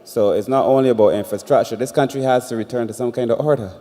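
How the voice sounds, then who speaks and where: A man's voice: medium pitch, fast, warm tone. A man with a Barbadian accent chairing a public consultation, speaking informally but firmly. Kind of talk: informal, firm public remarks. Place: large indoor meeting hall. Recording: reverberant hall public-address sound.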